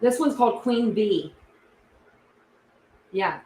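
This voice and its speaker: A woman's voice: medium pitch, expressive, takes it slowly, dark tone, echoey room, poor audio. A young woman hosting a casual true crime livestream, rambling and chatty with many restarts. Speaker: YouTube true crime channel host.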